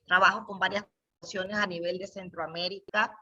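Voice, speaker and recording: A woman's voice: medium pitch, fairly even intonation, fairly quick, sharp tone, distant mic. A middle-aged or older woman from Venezuela speaks earnestly and reflectively on a video call. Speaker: participant giving thanks. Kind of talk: reflective, heartfelt talk. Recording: dull video-call audio.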